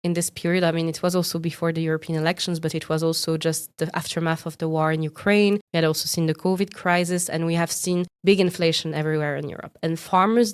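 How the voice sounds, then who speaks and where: A woman's voice: on the low side, clean tone. A young adult woman from France answering interview questions thoughtfully, with frequent fillers and restarts. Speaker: guest from a young farmers' organization.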